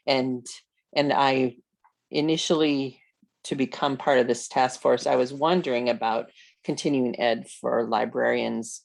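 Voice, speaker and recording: Older american lady, kinda gravelly creaky voice, halting intro on a video call, keeps restarting. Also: a bass voice, steady tone. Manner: halting, repeats and rephrases. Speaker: library board commissioner, former school librarian. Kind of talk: informal self-introduction in an online meeting.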